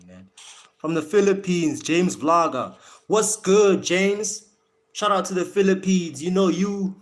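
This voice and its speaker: A man's voice: a little high, a bit bright. A man with a South African accent mixed with American, hosting a casual live stream in slangy talk and often repeating his words and phrases. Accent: South African with American influence. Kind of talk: casual boxing talk, chatting to viewers.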